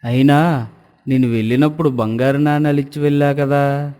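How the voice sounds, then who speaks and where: A man playing an honest, earnest and respectful villager in an animated moral story. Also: mid-range voice, moderate pace, smooth, close to an everyday voice. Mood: puzzled.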